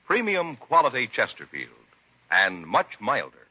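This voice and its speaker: An American man announcing on 1950s radio, reading scripted copy with emphatic, measured diction. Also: medium pitch, wildly swooping pitch, very noisy. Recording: muffled vintage radio broadcast with music.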